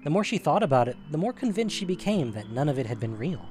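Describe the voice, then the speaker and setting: A man's voice: fairly high pitch, sing-song, quick pace, a little mellow, soft background music. A man narrating an audio drama, reading scripted prose in a dramatic storytelling style.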